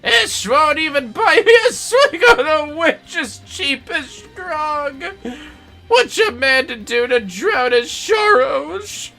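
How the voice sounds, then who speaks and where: A man voicing a greedy, boastful old treasure hunter from a video game, with theatrical piratical bluster and drawn-out words. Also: very high voice, animated, creaky, sharp tone, faint music behind, voice pushed up, full character voice. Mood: lamenting.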